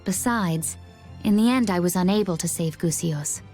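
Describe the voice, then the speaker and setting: A woman playing a poised, cool-headed young female warrior in an English game dub, speaking in a measured, composed way. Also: on the low side, darkish tone, faint music behind, lightly acted. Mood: composed, serious.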